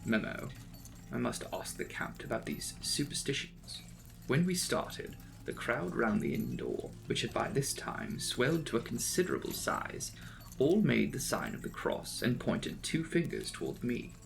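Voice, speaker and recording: A man narrating a classic novel as a dramatic audiobook, with measured, formal, theatrical phrasing. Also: mid-range voice, sing-song, vocal fry, background noise.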